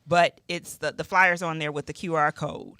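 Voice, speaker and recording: A woman's voice: deep voice, fairly even intonation, moderate pace, pushed and bright, clean tone, dry recording. A woman chatting casually on a radio show in a conversational, informative way.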